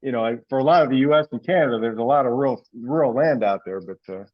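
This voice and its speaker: A man's voice: mid-range voice, fast. A man hosting an online meeting in a casual, informal, rambling way, heard over a conference call. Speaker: meeting host. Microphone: teleconference call. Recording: dull lo-fi conference call audio.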